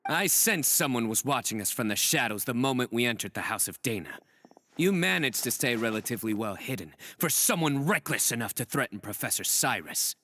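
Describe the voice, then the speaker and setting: An actor playing a stern, composed chief investigator in a video game, speaking in a measured, authoritative way. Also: male voice, medium pitch, animated, pushed and bright, slight background noise. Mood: accusing.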